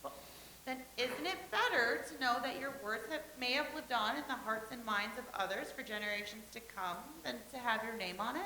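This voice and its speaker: A woman's voice: on the high side, fairly quick, bright, forceful tone. A woman acting in a staged comedy reading as a dreamy, eager young actress from the horse-and-buggy era. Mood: earnest, eager. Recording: roomy echo, faint hiss and noise.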